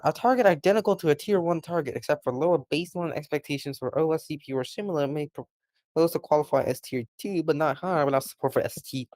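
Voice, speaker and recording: A man's voice: fairly high pitch, darkish tone, dry recording. A man reading a technical policy document aloud, often stumbling over words and correcting himself. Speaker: reader.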